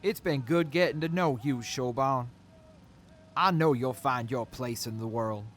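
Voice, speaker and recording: An actor playing a sincere, gentlemanly military major in an audio drama, earnest and grateful. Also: male voice, mid-range voice, unhurried, slight background noise, lightly acted. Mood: fond. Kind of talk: post-apocalyptic audio drama acting.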